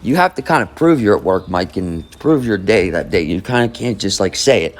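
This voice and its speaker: A man's voice: fairly low pitch, animated, a little fast, a little hiss or hum. A man hosting a true crime channel, reading an article aloud in casual commentary, rambling and skeptical. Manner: rambling, skeptical, hums between passages.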